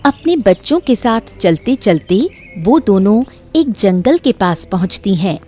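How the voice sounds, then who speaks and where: A woman's voice: mid-range voice, very animated, measured pace, mellow, faint music behind. A woman narrator reading a scripted moral story for children.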